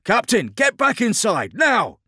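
A man's voice: high voice, huge pitch swings, unhurried, sharp tone, slightly rough, dry recording, studio quality, voice pushed up, heavily acted. A man voicing an eager, urgent military tank crew member in an audiobook, calling out replies. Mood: alarmed. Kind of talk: dramatic audiobook character acting.

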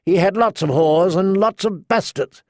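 A man's voice: high voice, moderate pace, darkish tone, no echo, clean studio sound, voice pushed up, lightly acted. A man voicing an arrogant, cruel teenage king in an audiobook, with an entitled, taunting manner. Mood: smug, taunting. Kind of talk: audiobook character voice.

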